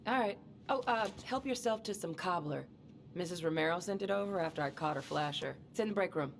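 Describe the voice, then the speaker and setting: Breezy, low-pitched policewoman, noisy background. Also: animated, fairly quick, gravelly. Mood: breezy, affectionate. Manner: colloquial, joking. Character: breezy, teasing small-town policewoman, friendly and chatty. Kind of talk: naturalistic video game voice acting.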